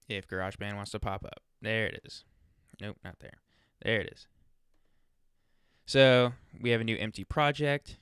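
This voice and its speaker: A man with a clear, fairly low voice guides listeners through software steps in a casual, chatty way. He thinks aloud as he demonstrates. The sound is close and dry, as from a USB microphone right by him. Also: moderate pace, no room sound. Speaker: tutorial presenter. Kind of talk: casual screen-recorded software walkthrough.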